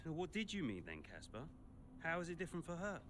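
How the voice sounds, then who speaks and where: A man's voice: a little high, huge pitch swings, fast talker, noisy background. A man playing a bitter, domineering young racing team boss in a cinematic game, sarcastic and prone to needling repetition. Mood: agitated, bitterly sarcastic. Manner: sarcastic, needling, repeats himself. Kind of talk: naturalistic cinematic game acting.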